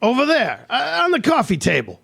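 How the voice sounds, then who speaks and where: A man's voice: very high-pitched, huge pitch swings, a little fast, gravelly, a bit bright, slightly rough, exaggerated voice. A man playing an exasperated, scolding mid-century husband in a live radio play, nagging and questioning emphatically. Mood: weary, curious.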